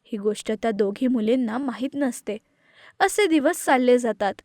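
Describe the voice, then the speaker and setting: A woman reading scripted narration for an animated moral story. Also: on the high side, normal speed, no room sound, clean studio sound.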